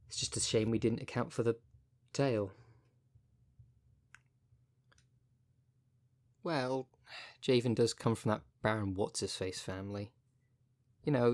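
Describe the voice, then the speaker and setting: Low-voiced wry necromancer coach, played by a man. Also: darkish tone, natural voice. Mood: impressed, chatty. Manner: chatty, colloquial, wry, consoling. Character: male necromancer coach, wry, foul-mouthed, caring, encouraging his zombie fighter. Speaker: audio roleplay performer. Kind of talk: ASMR roleplay, casual coaching talk.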